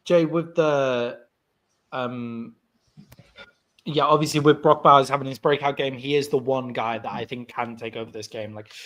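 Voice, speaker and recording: A man's voice: mid-range voice, a bit bright. A man with an English accent, co-hosting a podcast and talking football in a loose, chatty way. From England. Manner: chatty, self-correcting, asks co-host questions. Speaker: podcast co-host. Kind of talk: casual sports chat.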